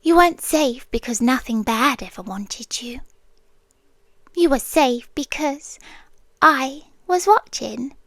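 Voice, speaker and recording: A woman's voice: sits high, lively intonation, slow pace, a bit bright, no echo, heavily acted. A British woman voicing a possessive, tender but menacing winged monster girl in an ASMR roleplay, cooing breathily in half-whispers close to the microphone. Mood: soothing, possessive.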